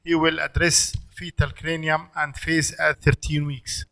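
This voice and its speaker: A man's voice: a little high, little pitch movement, measured pace, gravelly, pushed and bright. A man chairing a conference session, speaking formally with stilted phrasing. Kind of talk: introducing speakers.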